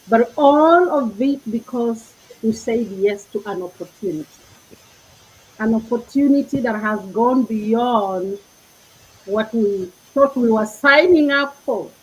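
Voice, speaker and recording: A woman's voice: fairly high pitch, a little mellow, husky, lo-fi sound. A woman with a Kenyan accent hosts an inspirational panel talk in an earnest, reflective way. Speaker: host.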